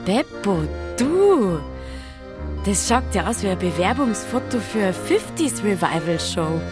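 A woman's voice: medium pitch, very animated, measured pace, music in the background. An actress playing an affectionate, teasing, plain-spoken young woman with a Bavarian accent in a radio drama. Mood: amused, mocking.

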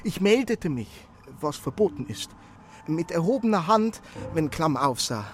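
An actor playing an eager, servile messenger in a radio drama, speaking earnestly and apologetically, with halting repetitions. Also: male voice, a little high, sing-song, slight background noise. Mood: apologetic, earnest. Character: eager, servile young male messenger reporting his efforts.

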